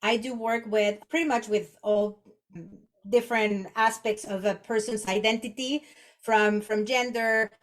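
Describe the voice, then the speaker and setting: Mexican-accented woman, halting and conversational. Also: fairly high pitch, steady tone. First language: Spanish. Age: 40s. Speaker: podcast guest, executive coach. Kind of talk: conversational interview, personal reflection.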